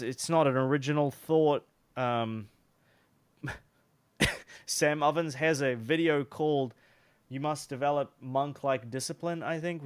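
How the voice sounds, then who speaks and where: A man's voice: mid-range voice, clear, resonant tone, dry recording. A young man with a New Zealand accent giving casual advice to camera in a loose, chatty way, with lots of fillers and restarts. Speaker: online business coach.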